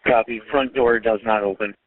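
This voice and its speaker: A man's voice: fairly low pitch, steady tone, normal speed. A man relaying police information over a narrowband two-way radio.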